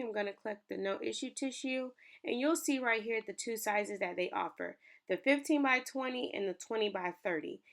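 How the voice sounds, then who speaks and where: A woman's voice: fairly high pitch, a bit bright. A young woman, chatting upbeat with viewers as she walks through a design tutorial on her screen. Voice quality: raspy. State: raspy from early morning. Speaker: small business owner and lifestyle creator. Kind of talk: screen-share tutorial walkthrough.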